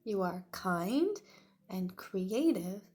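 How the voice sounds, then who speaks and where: A woman voicing a gentle, reassuring mother dinosaur in a storybook, soothing and encouraging. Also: mid-range voice, sing-song, extremely slow.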